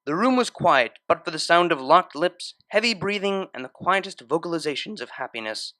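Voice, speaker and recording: A man's voice: a little high, expressive, normal speed, pushed and bright, no echo. A man narrating a scripted story in a dramatic, literary style. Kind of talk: expressive scripted fan fiction narration.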